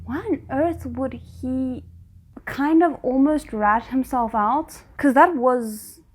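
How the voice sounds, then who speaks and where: A woman's voice: high-pitched, slow pace. A woman hosting a reaction video, thinking aloud about the story and talking back to the characters on screen. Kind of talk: casual reaction commentary.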